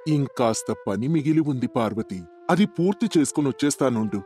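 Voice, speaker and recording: A man's voice: medium pitch, expressive, brisk, dark tone, soft background music, natural voice. A man playing a kind, hardworking village farmer in a storybook tale, earnest and reassuring.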